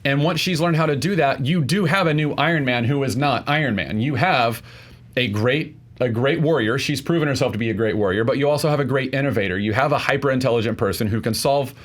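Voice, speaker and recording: A man's voice: mid-range voice, quick pace. A man arguing informally as a contestant on a film debate show, in a hesitant delivery full of fillers. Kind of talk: informal argument about films.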